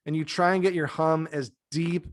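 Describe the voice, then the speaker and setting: A man's voice: a little high, steady tone, normal speed, no room sound. A man in his thirties who teaches yoga, co-hosting a podcast over a video call from a dim home room, speaking in a laid-back, soothing and joking way. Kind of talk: casual podcast chat. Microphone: desk mic, video call.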